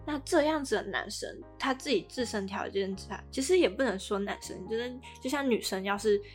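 A woman's voice: fairly high pitch, brisk. Taiwanese Mandarin woman podcasting over music. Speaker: podcast co-host. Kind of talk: conversational podcast discussion.

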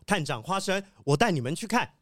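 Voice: medium pitch, big pitch swings, a little fast, a little hiss or hum, natural voice. An actor playing an earnest, dutiful wolfdog police sheriff in a children's audio drama, speaking in a formal reporting manner. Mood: businesslike. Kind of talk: children's radio drama acting.

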